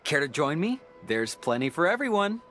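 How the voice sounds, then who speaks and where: An animated, loyal young guy. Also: a little high, moderate pace, music in the background. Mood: cheerful, hospitable. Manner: animated, hearty. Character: friendly, easygoing young male detective, eager and loyal. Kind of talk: video game voice acting.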